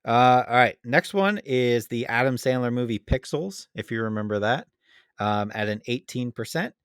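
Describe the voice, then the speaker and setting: A man's voice: mid-range voice, expressive, moderate pace, smooth, dry recording, clean studio sound. A man hosting a casual podcast debate in an easygoing, agreeable, chatty way. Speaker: podcast host. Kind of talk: casual chat, moderating a debate.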